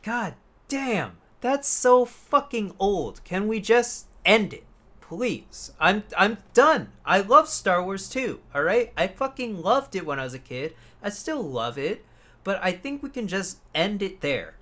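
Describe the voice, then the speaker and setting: A man co-hosting a casual chat podcast, blunt and quick to swear with the exasperation of a fan. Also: high-pitched, very animated, fairly bright tone. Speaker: podcast co-host. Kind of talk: casual chat about films and games.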